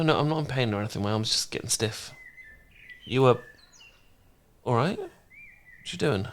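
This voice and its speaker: A man acting as a tender, bashful housemate in an ASMR romance drama. He has a mid-pitched voice and talks softly and intimately, with a halting, smitten manner. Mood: awkward, concerned. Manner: halting, gentle, intimate, flustered.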